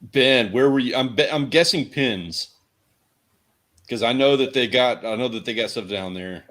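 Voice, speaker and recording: A man's voice: mid-range voice, moderate pace, a bit bright. A man with a Texan accent chatting casually as a livestream co-host, joking and rambling through stories. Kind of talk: casual chat about fishing and outdoors.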